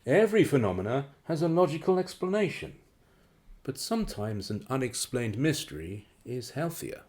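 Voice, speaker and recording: An actor in a radio play playing a suave, menacing crime boss with a mockingly genteel, condescending and drawn-out delivery. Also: male voice, medium pitch, big pitch swings, a little mellow, natural voice. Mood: dry, condescending. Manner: theatrical, condescending, chuckling politeness with drawn-out phrases.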